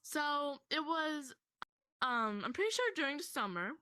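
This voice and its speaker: A young woman casually telling a personal story to a friend in a rambling, animated way, swearing freely. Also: high-pitched, measured pace, no echo.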